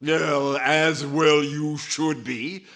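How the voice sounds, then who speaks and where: Man playing slow, snarky tax agent. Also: on the high side, steady tone, fairly bright tone, exaggerated voice. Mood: snide indignation. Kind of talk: 1940s radio comedy acting.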